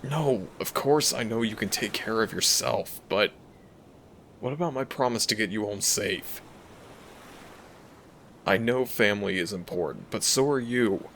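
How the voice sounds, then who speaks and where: A man's voice: lowish voice, a little slow, long pauses, fairly bright tone, a little hiss or hum, pitched above the performer's own voice. A man voicing a protective, tender and worried dragon prince with earnest, formal phrasing, in a romantic audio roleplay. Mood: urgent, protective.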